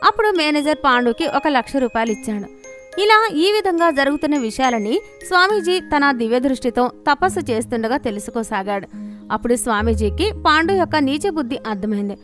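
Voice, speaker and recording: High-pitched, lively woman storyteller. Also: a little fast, faint music behind. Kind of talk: scripted children's story narration.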